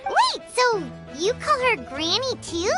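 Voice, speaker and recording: A woman's voice: wildly swooping pitch, slow pace, heavily acted. A woman voicing a tiny, childlike female fairy companion who is eager, chatty and bubbly, in an anime video game. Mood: puzzled. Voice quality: squeaky, childlike. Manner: bubbly, refers to self in third person. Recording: loud game music behind the voice.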